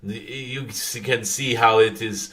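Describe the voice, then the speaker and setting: A man channeling a wise, gentle dragon spirit, giving spiritual guidance in a halting, formal way with frequent humming pauses. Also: mid-range voice, fairly even intonation. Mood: calm, instructive. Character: wise, gentle dragon spirit addressing followers as dear ones.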